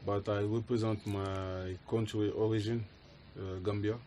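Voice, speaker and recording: A man, answering interview questions hesitantly with many pauses. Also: on the low side, little pitch movement, dark tone, a little hiss or hum. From France. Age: 20s. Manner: hesitant, many pauses and fillers, simple phrasing. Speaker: MMA fighter guest. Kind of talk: interview answers in halting English.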